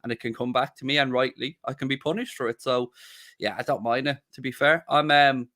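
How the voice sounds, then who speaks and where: A man's voice: medium pitch, a little fast, pushed and bright. A young man hosting a casual podcast, talking bluntly and defiantly and swearing freely. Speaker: podcast host.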